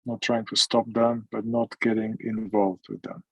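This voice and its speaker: Male meditation guide, husky and very even in pitch, gentle phrasing with long pauses. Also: no room sound, dull audio. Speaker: meditation teacher. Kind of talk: guided meditation, mindfulness instruction.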